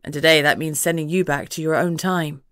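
A woman's voice: deep voice, fairly even intonation, fairly quick, fairly bright tone, no echo, clean studio sound. A woman doing a firm, loving father. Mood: earnest. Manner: firm, earnest.

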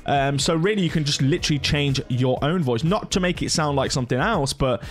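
A man's voice: a little high, brisk, soft background music. A middle-aged man talking informally through a casual tutorial, in the manner of a gaming content creator. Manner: chatty, informal.

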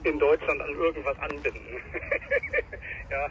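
A man's voice: a little high, huge pitch swings, slow, fairly bright tone. A man from Germany with a German accent, chatting casually as a visiting radio hobbyist. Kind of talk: casual chat. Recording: slightly muffled outdoor audio with faint noise.

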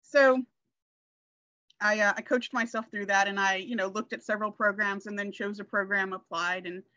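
A woman's voice: mid-range voice, fairly even intonation, normal speed, pushed and bright, dry recording. A woman, chatting casually and telling personal stories as a guest on a video call. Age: 40s. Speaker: guest sharing her success story. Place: indoor room, video call. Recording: slightly dull video call audio.

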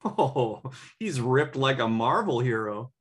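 Medium-pitched man, acting a laid-back buddy, thirties, casual, supportive, sitcom script read. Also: lively intonation, slow pace, slightly rough. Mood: easygoing. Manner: casual, easygoing. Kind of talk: comedy script table read. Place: home room on a video call.

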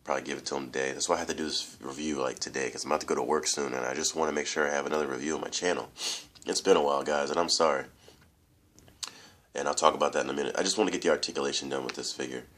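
Very deep-voiced congested young man. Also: steady tone, very fast, fairly bright tone. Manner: rambling, loose, repeats fillers and addresses viewers as guys. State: congested, nasal from allergies. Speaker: toy reviewer. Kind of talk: casual toy review vlog. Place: room at home, indoors.

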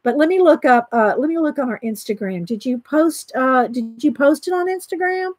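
A chatty woman with a high, bright voice, hosting a live art stream and greeting viewers in chat by name. She reads aloud from a book and giggles often. The sound is close and dry, with no room echo. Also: lively intonation, normal speed. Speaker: art livestream host.

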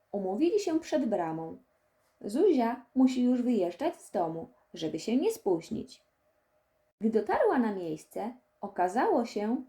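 A woman reading a children's story as an audiobook narrator, in an expressive storytelling manner. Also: on the high side.

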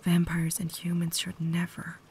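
A woman's voice: fairly low pitch, completely flat delivery, a little mellow, a little hiss or hum, close to an everyday voice. Whispering, cultured vampire lady acted by a woman. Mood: sorrowful, caring. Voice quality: breathy whisper. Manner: formal, measured, earnest diction. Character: cultured, caring female vampire lady, restrained and tormented. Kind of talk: whispered ASMR roleplay acting.

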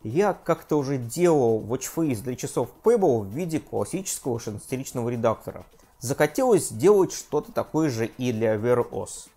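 A man in his thirties hosting a scripted tech review vlog from a room at home, lively and self-mocking. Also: medium pitch, normal speed. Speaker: tech video host. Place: home room with desk and gear.

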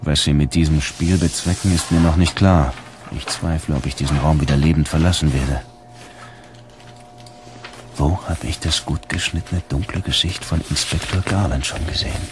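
An actor playing a suspicious bounty hunter in a German radio drama, terse and dry, sometimes narrating in first person. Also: male voice, a bass voice, moderate pace. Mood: wary, brooding. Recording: loud music under the voice.

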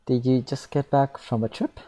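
A man's voice: medium pitch, fairly even intonation, moderate pace, dark tone, close to an everyday voice. A man voicing a friendly, curious taxi driver while reading a visual novel aloud.

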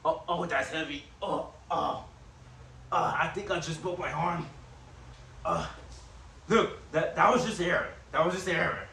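An awkward, easily beaten gym-goer acted by a young man in a comedy skit. His hesitant voice is fairly high and sits back from the mic in an echoey garage. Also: lively intonation, measured pace, long pauses, bright, forceful tone, far from the mic, slight background noise, heavily acted. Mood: strained, defensive. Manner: stammering, sighing, hesitant.

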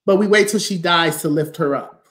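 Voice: mid-range voice, normal speed, a bit bright. An American host with an emphatic, preachy delivery, repeating phrases for stress while leading a live online arts talk on a video call. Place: indoor room at home, video call. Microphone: video call mic.

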